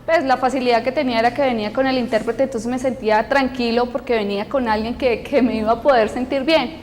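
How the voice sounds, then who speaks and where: A woman's voice: on the high side, fairly even intonation, a little mellow, slight background noise. Woman voicing for an interviewee in a reverberant room. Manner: halting, many fillers and restarts. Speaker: sign language interpreter voicing a deaf student. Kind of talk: interview answer, spoken interpretation.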